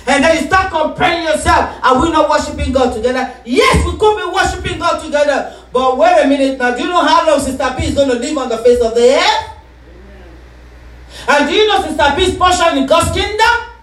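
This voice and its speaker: Woman pastor, sermon, call-and-response, shouting peaks, echoey room, distant mic. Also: high voice, brisk.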